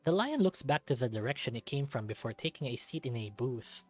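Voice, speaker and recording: A man's voice: medium pitch, expressive, a little fast, faint music behind. A man reading a first-person story aloud in a dramatic narrating style, as for a visual novel.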